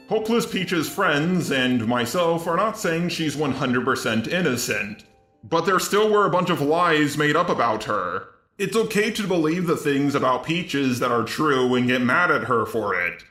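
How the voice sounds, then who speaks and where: A man's voice: mid-range voice, a bit bright, reverberant. A synthetic male robot voice reading scripted video commentary as the host of a channel. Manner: mechanical, evenly scripted delivery. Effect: text-to-speech robot voice. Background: background music.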